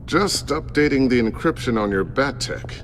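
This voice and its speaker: An actor playing a middle-aged, wry and protective tech expert and father in a video game, with a gravelly voice. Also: mid-range voice, lively intonation, moderate pace, a little hiss or hum, close to an everyday voice. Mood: focused, curious.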